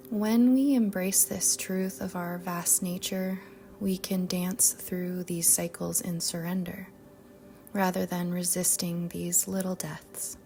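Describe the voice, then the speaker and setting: A woman guiding a meditation in a soft, whispery-edged voice, speaking gently and soothingly with long pauses. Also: fairly low pitch, slight background noise. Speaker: yoga nidra meditation guide. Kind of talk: guided meditation narration. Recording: soft music bed behind voice. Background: music.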